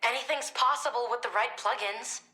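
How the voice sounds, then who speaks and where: An actress playing a defiant, sharp-witted teenage girl in a video game, casual and dryly sarcastic. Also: fairly high pitch, brisk, echoey room. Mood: flippant.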